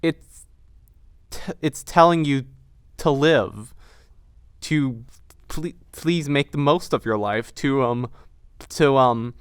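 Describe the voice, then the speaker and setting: A man's voice: medium pitch, takes it slowly, long pauses, clear, resonant tone, dry recording, studio quality. A young man talking reflectively in an interview, earnest and searching for words, often repeating himself. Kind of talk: reflective interview talk about a production.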